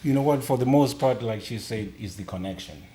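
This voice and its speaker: A man's voice: mid-range voice, expressive, brisk, dark tone, reverberant, a little hiss or hum. A middle-aged man from Zimbabwe, talking earnestly as a podcast guest and repeating key phrases for emphasis. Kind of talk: casual conversation.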